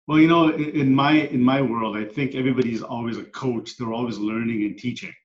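A man's voice: medium pitch, fairly even intonation, a little fast. Middle-aged man, echoey and earnest. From Canada, moved there at ten. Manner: earnest, reflective storyteller. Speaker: guest, coach and speaker. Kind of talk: storytelling in a podcast interview. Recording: echoey room, dull lo-fi sound.

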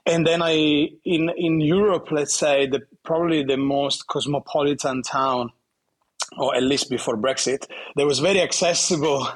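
A man from southern Italy speaking English with an Italian accent as an interview guest, talking in a halting way with many restarts and small laughs. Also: on the high side, steady tone, husky. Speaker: guest bartender. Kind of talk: casual interview chat.

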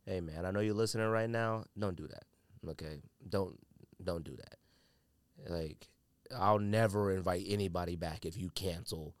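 Man, American accent, fairly deep voice, dry recording, casual podcast chat, slangy, conversational. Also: moderate pace, no room sound. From California, United States. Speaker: podcast host. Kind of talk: casual solo podcast chat about sneakers.

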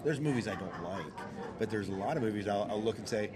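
A man's voice: on the low side, expressive, fast talker, over a noisy crowd. A man hosting a podcast and interviewing in a casual, conversational style.

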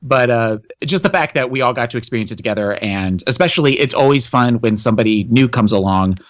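A man's voice: medium pitch, fast, no room sound, studio quality. A man from the Philadelphia area, a podcast co-host telling a story in an earnest, rambling way. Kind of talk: casual chat.

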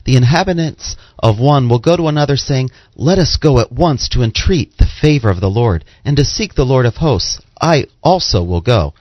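A man's voice: mid-range voice, no room sound. Male scripture reader, mid-range voice, formal recitation, dry and slightly lo-fi sound. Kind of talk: scripted Bible reading.

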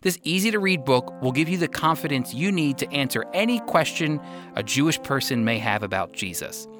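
A man reading a scripted promotional narration as an announcer, with polished, clearly articulated delivery. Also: medium pitch, animated, fairly quick. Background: background music.

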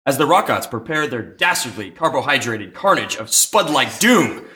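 A man's voice: medium pitch, sing-song, normal speed, bright, forceful tone. A man narrating dramatically in the style of old-time radio serials. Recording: roomy live stage sound with music.

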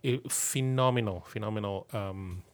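A man's voice: on the low side, moderate pace. A man on a podcast earnestly telling of a mission trip, often repeating his words. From Kenya. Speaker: podcast co-host, pastor. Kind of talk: casual podcast chat.

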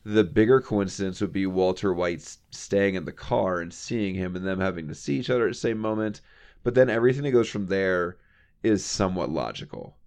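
Deep gravelly man, teasing banter. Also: a little fast, darkish tone. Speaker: podcast co-host. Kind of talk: casual chat.

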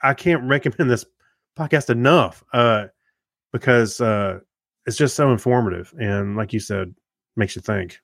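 A man's voice: medium pitch, a little slow, gravelly, fairly bright tone, no room sound, studio quality. A middle-aged man co-hosting a podcast in casual chat, talking in a rambling way with many restarts and fillers. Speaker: podcast co-host.

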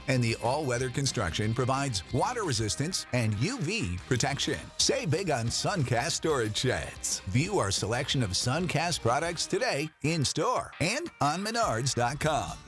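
Lively-pitched man reading an ad. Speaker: ad announcer. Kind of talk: scripted radio ad read.

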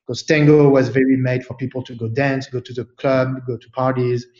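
Halting man from France, roomy sound. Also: medium pitch, level tone, a little mellow, muffled recording. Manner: thoughtful, halting, many fillers and restarts. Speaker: guest. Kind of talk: casual interview chat.

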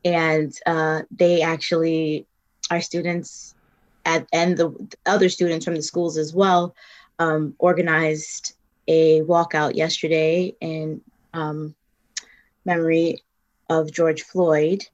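A middle-aged woman with a lowish voice answers interview questions as a community organizer. She is earnest and a little halting, often pausing with "um" and correcting herself as she goes. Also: flat delivery, highs cut off. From South Bronx, New York. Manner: earnest, halting, with frequent ums and self-corrections.

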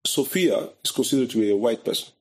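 A middle-aged man from Brazil with a Brazilian Portuguese accent and Portuguese as his first language, telling stories animatedly as an interview guest in halting second-language English and acting out the voices he quotes. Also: mid-range voice, lively intonation, a little mellow. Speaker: guest, photographer. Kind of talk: casual conversational interview.

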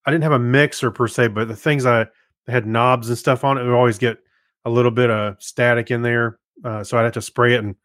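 A man's voice: medium pitch, fairly even intonation, a little fast, a bit bright, no echo, clean studio sound. An American man co-hosting a podcast, chatting casually in an easygoing, rambling way. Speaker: podcast co-host. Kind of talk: casual chat.

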